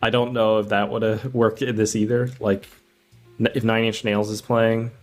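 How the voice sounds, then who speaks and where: Low-pitched man in casual film chat. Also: level tone, moderate pace, darkish tone, faint music behind. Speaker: film podcast co-host.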